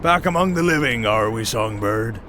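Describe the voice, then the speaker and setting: Menacing old sea captain voiced by a man, lively pitch swings, slow taunts, fantasy audio drama over noisy ambience. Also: natural voice. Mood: menacing, amused. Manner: taunting, unhurried, archaic sailor speech. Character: menacing salty old male pirate captain. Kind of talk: fantasy audio roleplay acting.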